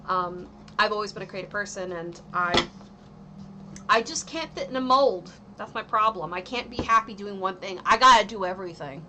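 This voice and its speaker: A woman's voice: medium pitch, pushed and bright, a little hiss or hum. A woman in her thirties giving a casual livestream tarot reading, rambling with lots of ums and likes. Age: 30s. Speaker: tarot reader and streamer.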